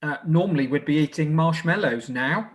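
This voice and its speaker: An English man hosting informally as a scout group leader, with a hesitant, halting way of addressing people. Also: a little high. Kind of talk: informal closing address at online presentation evening.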